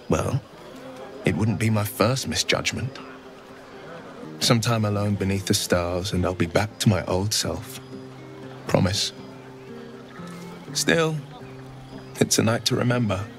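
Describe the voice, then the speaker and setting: An actor playing a melancholy, gallant horned hero in a video game, with a gentle, wistful, courtly way of speaking. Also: male voice, lowish voice. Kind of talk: video game character dialogue.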